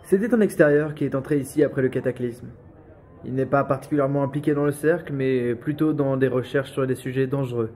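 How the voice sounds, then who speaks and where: A man's voice: medium pitch, a little fast, darkish tone, close to an everyday voice. An actor playing a young male investigator and dutiful nephew in a French audio drama, terse, reserved and polite. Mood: guarded, terse.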